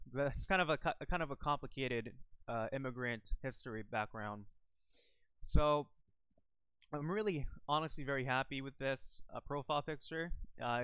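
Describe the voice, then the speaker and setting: A man's voice: medium pitch, fairly bright tone, clean tone, no room sound. A young man from the Philippines chatting casually on a live stream from home, rambling with lots of fillers and restarts into a desk microphone. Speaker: YouTuber streaming about an online game.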